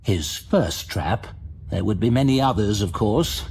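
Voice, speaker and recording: A man's voice: fairly low pitch, lively intonation, a little slow, slight background noise. A man giving a dramatic scripted narration of a fantasy audio drama. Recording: loud dramatic music under the voice. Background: music.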